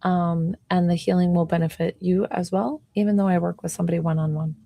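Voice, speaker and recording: A woman in her forties, an intuitive healer, chatting casually on a live stream, talking softly with a slightly hoarse voice and many fillers. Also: lowish voice, little pitch movement, fairly quick, dry recording.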